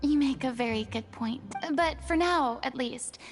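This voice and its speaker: A woman's voice: sits high, unhurried, music in the background. A woman voicing a melancholy, refined young woman in a video game, wistful and formal. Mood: subdued, sorrowful. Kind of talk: English video game voice acting.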